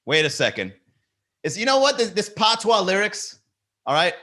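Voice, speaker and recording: A man's voice: high voice, sing-song, moderate pace, pushed and bright. A man hosting a comedy podcast, riffing on song lyrics with swearing and lots of rhetorical questions.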